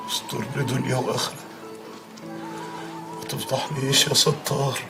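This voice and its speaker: A middle-aged man with an Egyptian accent playing a stern, pious father in a TV drama, gruff and demanding with his wife. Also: mid-range voice, close to an everyday voice. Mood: praying. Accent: Egyptian Arabic. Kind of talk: TV drama acting.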